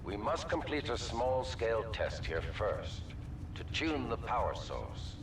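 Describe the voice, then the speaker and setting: A man playing a cold, calculating military research commander in a game, giving a measured, formal speech with deliberate, weighty pauses. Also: medium pitch, very animated, background noise. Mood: ominous, resolute. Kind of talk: formal scripted speech to an assembly. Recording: echoey, distant address with music underneath.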